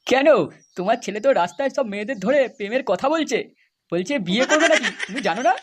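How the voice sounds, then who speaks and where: Brief: performer as mocking cartoon village lad, quick delivery, crude, scolding, faint noise behind. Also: mid-range voice, animated, lightly acted. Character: mocking, foul-mouthed village young man, scolding bully. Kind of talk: comedy cartoon dubbing.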